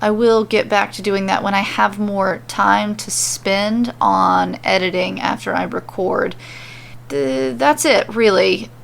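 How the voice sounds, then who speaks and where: A woman's voice: medium pitch, steady tone, slow pace, vocal fry. A woman hosting and narrating a story podcast in an informal, conversational way. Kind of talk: casual chat and expressive story narration.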